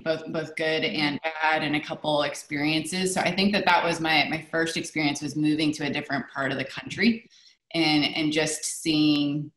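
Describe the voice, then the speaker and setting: Woman from socal, slightly low voice, casual group talk, roomy echo on a video call. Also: a bit bright. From Southern California, US. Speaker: discussion participant. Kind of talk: casual reflective group discussion. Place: indoor room, video call.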